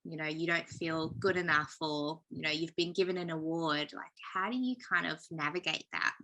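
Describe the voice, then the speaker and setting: Host: reflective woman interviewer, lower pitch, bright, clear tone. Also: moderate pace, poor audio. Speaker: podcast host. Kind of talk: casual interview talk.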